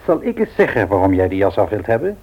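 A man's voice: fairly low pitch, big pitch swings, fast, husky, heavily acted. An actor playing a grumbling, teasing old husband and grandfather in a radio play, muttering and repeating himself. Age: older. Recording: dull old radio recording.

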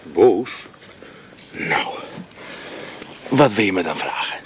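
An ardent, pleading suitor. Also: male voice, low voice, lively intonation, takes it slowly, noisy background, full character voice. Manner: earnest, pleading. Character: Jaap, restless ardent suitor. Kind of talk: radio play acting.

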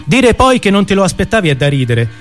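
A man performing a dramatic radio monologue in halting, theatrical fragments. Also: high-pitched, big pitch swings. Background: music.

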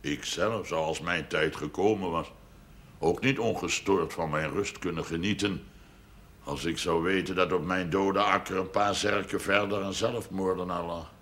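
An actor playing a shrewd, self-important wealthy farmer in a television drama, speaking in a pompous, formal, wordy way. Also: male voice, deep voice, steady tone, moderate pace, fairly bright tone. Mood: grave, sly.

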